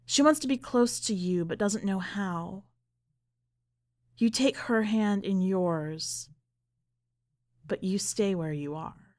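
A woman presenting a literary podcast in a measured, deliberate way, as narrator and host. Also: mid-range voice, darkish tone. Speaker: podcast host, editor and story reader.

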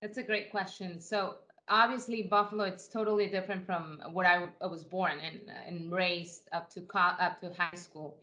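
A woman's voice: lowish voice, fairly even intonation, moderate pace, few pauses, bright, forceful tone, low-quality recording. A woman from Ecuador, a guest in an interview, answering in an earnest, reflective way with frequent restarts.

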